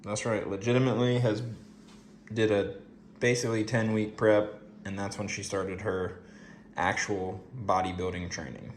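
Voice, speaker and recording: A man's voice: on the low side, level tone, normal speed. Hyped-up man in an echoey room. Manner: hyping, bragging. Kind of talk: casual hype commentary. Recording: echoey room, faint background noise.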